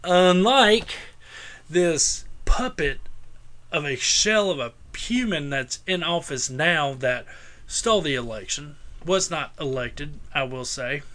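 A man's voice: fairly high pitch, expressive, takes it slowly, fairly bright tone. A man hosting a casual podcast, talking in a crude, opinionated way and swearing freely. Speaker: podcast host.

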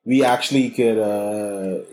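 A man's voice: lowish voice, slow, mellow, slight background noise. A talkative, boisterous man from the Bahamas with a Bahamian accent, telling his story as a guest in a casual interview. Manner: boisterous, talkative, repeats words. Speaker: guest, cannabis consultant.

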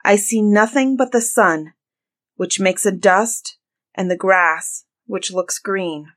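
Woman acting a slow, plaintive storybook sister. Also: mid-range voice, a bit bright. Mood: watchful, regretful. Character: anxious sister Anne, watchful lookout on tower. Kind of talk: dramatized fairy-tale storybook reading.

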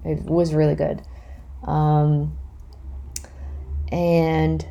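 A thirty-year-old woman with a Canadian accent hosts a casual solo podcast. Her voice sits low and soft-edged, with plenty of vocal fry. She speaks candidly and reflectively, hesitating as she works out her answers. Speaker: podcast host.

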